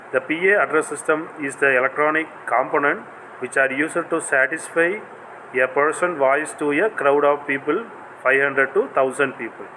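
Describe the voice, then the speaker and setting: A man's voice: a little high, sharp tone, slight background noise, low-quality recording. A man with a South Indian accent giving a scripted technical lecture in a formal, read-aloud way. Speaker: assistant professor giving a video lecture.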